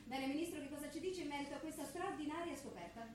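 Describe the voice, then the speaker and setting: A woman's voice: high voice, fast talker, close to an everyday voice. A woman playing a gushing, emphatic TV talk show host in a theatrical parody, live on stage. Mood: grandly announcing. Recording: echoey theatre stage with audience noise.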